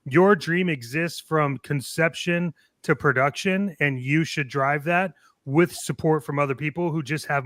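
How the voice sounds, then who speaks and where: A man's voice: mid-range voice, a bit bright, no room sound, clean studio sound. A man talking casually on a panel, making his points by acting out little bits of dialogue. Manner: illustrates points with acted-out dialogue. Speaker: podcast panelist.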